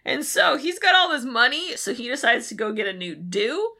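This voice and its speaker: A woman co-hosting a podcast, giving a casual, comic recap in an exasperated, animated way and swearing freely. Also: on the high side, moderate pace. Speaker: podcast co-host. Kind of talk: casual comedic episode recap.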